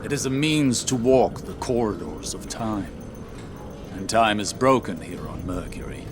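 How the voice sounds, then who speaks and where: A solemn old warlock from a video game. His voice sits low and he weighs each portentous word like a weary mentor. Prominent music plays behind him. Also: expressive. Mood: grave. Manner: measured, portentous. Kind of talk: video game cutscene acting.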